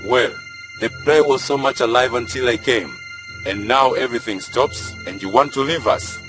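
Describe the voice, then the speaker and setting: A man plays a proud old chief in a stage drama. He is sly, pompous and teasing, lording it over everyone. Loud music plays under his mid-pitched voice. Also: normal speed, natural voice. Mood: teasing, lordly. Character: proud sly old village chief, aged sixty-two. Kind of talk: student stage-play dramatization.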